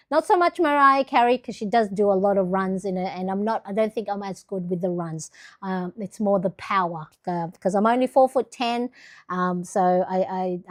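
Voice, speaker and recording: A middle-aged woman from the Philippines chatting casually on a livestream, rambling with lots of fillers and restarts. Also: mid-range voice. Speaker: planner livestream host.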